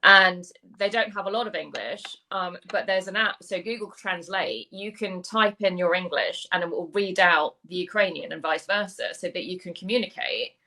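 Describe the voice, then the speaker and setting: A woman's voice: on the low side, moderate pace, hardly any pauses, sharp tone, rough recording. A woman hosting a casual video interview with an author, enthusiastic and effusive. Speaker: host.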